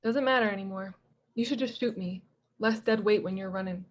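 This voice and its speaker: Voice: medium pitch, brisk, close to an everyday voice. An actor playing a battered young hustler in a screenplay table read, scared and defeated, with slangy fatalistic talk. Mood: gloomy, resigned.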